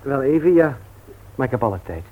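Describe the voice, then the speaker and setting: An actor playing an earnest, reserved widowed father in a radio play, speaking in a hesitant, halting way. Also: mid-range voice, lively intonation, close to an everyday voice. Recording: tinny old radio recording with hiss.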